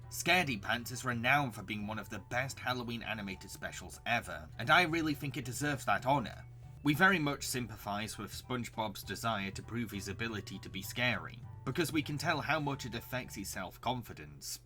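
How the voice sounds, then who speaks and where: A young man narrates a countdown-style review with enthusiasm. His voice is bright and forward, mid-pitched, over soft background music. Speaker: host and narrator of a cartoon recommendation video. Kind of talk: scripted review narration with casual asides.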